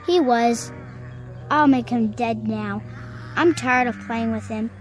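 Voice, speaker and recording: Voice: mid-range voice, slow, faint music behind, close to an everyday voice. An actor playing a sullen little boy with frightening powers, blunt and demanding in an old television drama. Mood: cold, bored. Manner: blunt, demanding, flatly menacing. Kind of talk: vintage television drama acting.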